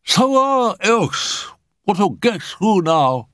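A man's voice: high voice, big pitch swings, drawn-out words, fairly bright tone, no echo, clean studio sound, pitched above the performer's own voice, heavily acted. A man voicing an ample, tired woman spirit medium who talks in a chatty, dramatic, uneasy way. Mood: slow announcing.

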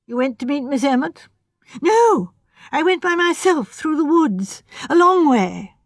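Woman doing a stammering, high-strung young man. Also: big pitch swings, measured pace, no room sound, clean studio sound, heavily acted. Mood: flustered. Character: nervous, distraught young male architect.

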